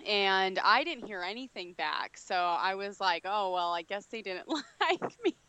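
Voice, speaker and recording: A woman's voice: mid-range voice, expressive, a little slow, pushed and bright, slight background noise. A woman, an actress guest, telling in upbeat, chatty talk how she got a film role. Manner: upbeat, chatty, many fillers. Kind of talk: casual interview storytelling.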